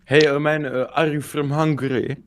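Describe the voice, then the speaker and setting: A man's voice: a little high, little pitch movement, moderate pace, slight background noise. A man from the Czech Republic with a Czech accent, chatting casually in online game voice chat.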